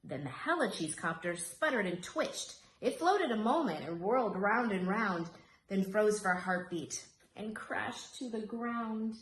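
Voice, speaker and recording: A woman's voice: mid-range voice, a little slow. Woman reading a rhyming storybook, echoey room. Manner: dramatic, animated storytelling. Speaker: storybook reader. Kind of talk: expressive read-aloud of rhyming children's story. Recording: roomy echo, faint background noise.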